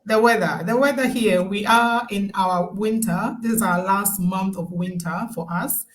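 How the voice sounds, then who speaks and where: A woman's voice: medium pitch, measured pace. A woman, a guest on a live video call who talks in a friendly, chatty way and laughs often. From Ghana. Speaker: guest, nurse turned online business owner. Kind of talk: casual live-stream interview.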